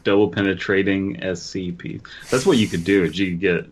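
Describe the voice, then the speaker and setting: A man's voice: deep voice, fairly even intonation, fairly quick, slight background noise. A man co-hosting a comedy podcast, chatting casually and reading stories aloud in a goofy manner. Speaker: podcast co-host. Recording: dull lo-fi audio.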